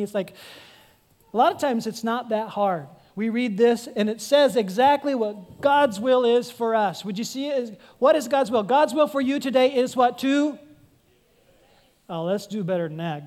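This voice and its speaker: A woman's voice: medium pitch, darkish tone. A woman pastor delivering an earnest sermon, putting questions to her congregation and pressing her points.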